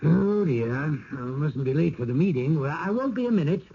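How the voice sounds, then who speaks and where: An actor playing a courteous, evasive American small-town mayor, formal and emphatic, in a radio drama. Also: male voice, on the high side, animated, normal speed, creaky, breathy edge, exaggerated voice. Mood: fussy. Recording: muffled vintage radio recording.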